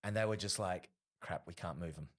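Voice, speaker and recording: A man's voice: low-pitched, brisk, slight background noise. A man, a comedian guest telling long stories in a casual podcast interview, with many fillers. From Australia. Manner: rambling storyteller, frequent fillers.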